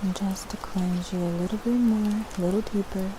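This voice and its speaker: A woman speaking gently and reassuringly in an ASMR style, as a spiritual healer guiding a cleansing. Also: medium pitch, steady tone, noisy background. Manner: gentle, reassuring, repeating words slowly. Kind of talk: ASMR, soothing guided cleansing.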